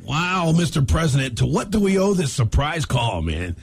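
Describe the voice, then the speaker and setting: A man's voice: on the high side, sing-song, moderate pace, gravelly, noisy background. A man hosting a comedy radio show in a casual, informal bantering style. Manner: informal, often calls people "man".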